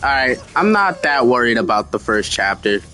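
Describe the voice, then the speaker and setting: A man's voice: mid-range voice, very animated, moderate pace, pushed and bright, soft background music. A slightly sick, sniffling man giving laid-back, chatty commentary while streaming a game. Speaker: gaming streamer. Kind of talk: casual gameplay commentary.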